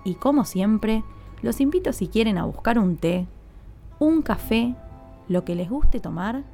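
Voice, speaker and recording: A woman hosting a podcast, telling stories in a measured, scripted narration. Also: a little high, mellow. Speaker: podcast host.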